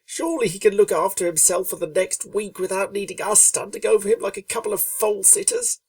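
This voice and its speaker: A woman voicing a brash, impatient young female pegasus pony who complains loudly and whines. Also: mid-range voice, fairly quick, no room sound, recorded from a distance, pitched above the performer's own voice, natural voice. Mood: irritable. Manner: whiny, loud, complaining.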